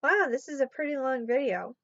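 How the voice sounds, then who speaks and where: A sleep-deprived woman talks loosely to her camera, rambling and trailing off as her voice wears hoarse. Her voice sits a little high. The sound is dull and muffled, in a dead, dry room. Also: expressive, a little fast, a bit bright, dry recording. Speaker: vlogger. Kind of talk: casual talk to camera.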